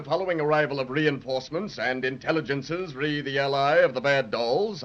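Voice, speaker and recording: An actor playing a pompous, deferential tin soldier captain who reports in stiff, formal, halting military style in a children's radio serial. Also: male voice, mid-range voice, fairly bright tone. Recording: muffled, dull 1930s radio recording.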